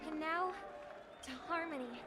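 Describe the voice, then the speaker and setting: A woman's voice: high voice, a little slow, crowd noise. An actress voicing a gracious young woman delivering a formal festival speech in a poised, ceremonial manner. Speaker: festival speaker. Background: game music.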